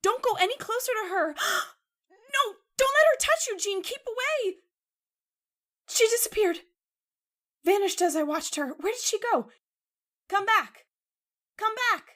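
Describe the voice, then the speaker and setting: A woman's voice: very high voice, expressive, unhurried, pauses often, pushed and bright, full character voice. A woman playing an anxious, devoted young woman in an old-time radio horror drama, earnest and melodramatic. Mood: frightened, frantic.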